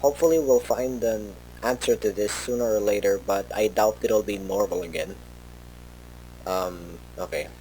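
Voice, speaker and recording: A man playing a worried researcher who records a rambling, halting, informal tape log for an analog horror story. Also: lowish voice, fairly even intonation, darkish tone, a little hiss or hum, lightly acted, low-quality recording. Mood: hesitant, explanatory. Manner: hesitant, halting, rambling with self-corrections.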